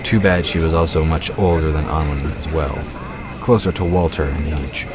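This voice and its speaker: Extremely deep-voiced man narrating fantasy. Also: steady tone, normal speed. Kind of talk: scripted fantasy audio drama narration.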